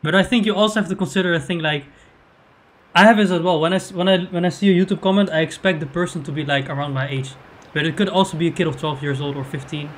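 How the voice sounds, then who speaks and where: A man's voice: a little high, fast talker, slight background noise. A young man hosting a podcast, speaking casually into a studio mic in an easygoing, agreeable way. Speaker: podcast host. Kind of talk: casual interview. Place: studio with striped curtain. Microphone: studio mic with pop filter.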